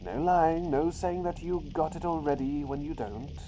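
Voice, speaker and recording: A man voicing an eccentric, playful doctor turned talking bird, in soft-spoken ASMR roleplay with whispers and whimsical, unhurried phrasing. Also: fairly high pitch, voice pushed up, lightly acted. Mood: playful, teasing. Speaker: riddle-teller. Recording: clear background noise with outdoor ambience.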